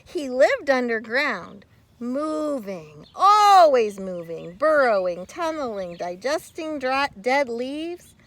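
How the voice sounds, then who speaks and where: A woman reading a children's story aloud expressively, with theatrical, deliberate phrasing and dramatic pauses. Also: sits high, very animated, fairly bright tone, dry recording. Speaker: storybook reader.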